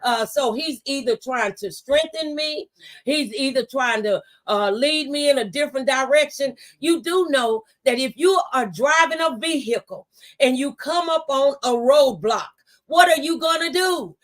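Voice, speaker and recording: A woman's voice: sits high, lively intonation, a little slow. A woman hosting a faith-based motivational conversation, testifying with rhetorical questions and repeated phrases. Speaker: talk show host and mentor.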